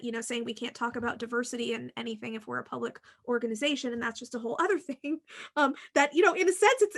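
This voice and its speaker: An American woman talks in a high, bright voice with a forceful edge. She hosts in a casual, conversational style, laying out her views frankly and emphatically, often circling around a point as she explains it. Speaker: podcast host and university teacher.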